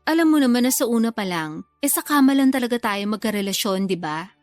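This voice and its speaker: A woman's voice: a little high, animated, quick pace, dry recording, faint music behind, natural voice. A woman acting a teasing, guilty lover in a secret affair, with a Filipino accent, in a radio love drama. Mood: placating.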